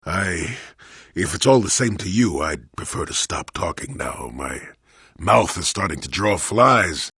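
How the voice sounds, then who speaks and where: Cantankerous old hermit, deep, very husky, sardonic, weary ex-knight, video game character. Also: male voice, expressive, unhurried, a bit bright, no room sound, clean studio sound, full character voice. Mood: defensive, sardonic. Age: older. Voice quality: gravelly, husky. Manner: cantankerous, sardonic, rambling storyteller. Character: crotchety old male hermit, former Jedi, wry and weary.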